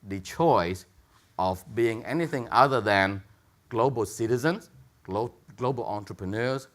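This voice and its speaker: A man with a very low voice speaks on a panel as a tech entrepreneur. His delivery is halting, full of restarts, repeated words and fillers. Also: a bit bright. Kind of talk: panel discussion remarks.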